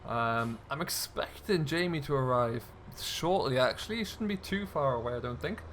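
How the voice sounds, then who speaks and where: A man's voice: medium pitch, lively intonation, moderate pace, fairly bright tone, a little hiss or hum. A man giving casual, chatty commentary as host of a role-play gaming video, thinking aloud in an easygoing way.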